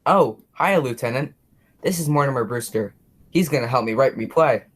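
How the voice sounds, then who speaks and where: A man's voice: mid-range voice, expressive, normal speed, a bit bright. A young man playing a chatty, oblivious policeman who dreams of writing plays, in a radio drama. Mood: eager, self-absorbed. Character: chatty, oblivious policeman and eager amateur playwright. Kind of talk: radio play acting.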